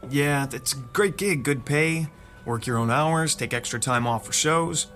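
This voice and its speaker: A man plays a put-upon male theater stagehand in a radio drama. His middle-pitched voice is natural and conversational, with a dry, wry edge. Light music sits behind it. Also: measured pace, fairly bright tone, close to an everyday voice. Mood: weary, grumbling.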